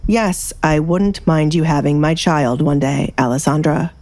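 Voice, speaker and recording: A woman narrator voicing a cool, domineering aristocratic man in an audiobook, measured and imperious. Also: deep voice, steady tone, darkish tone. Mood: cool, impatient. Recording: faint background music.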